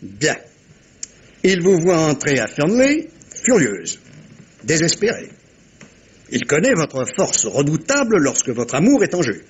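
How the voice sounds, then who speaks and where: An actor playing a shrewd, self-assured middle-aged detective in a French radio mystery, commanding and theatrical, speaking with deliberate dramatic pauses. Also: male voice, fairly high pitch, wildly swooping pitch, husky, exaggerated voice, poor audio. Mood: grave, probing. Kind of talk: 1950s-style French radio mystery acting.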